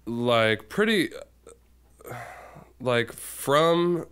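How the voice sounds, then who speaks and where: A man's voice: mid-range voice, expressive, extremely slow, fairly bright tone, clean tone. A man talking as a guest musician in a casual podcast chat, rambling and full of fillers.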